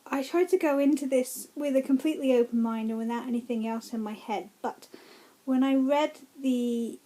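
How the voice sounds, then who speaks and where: A woman's voice: high voice, moderate pace, dark tone. A woman giving casual, gushing reactions as she reads through a book, with an enthusiastic way of talking.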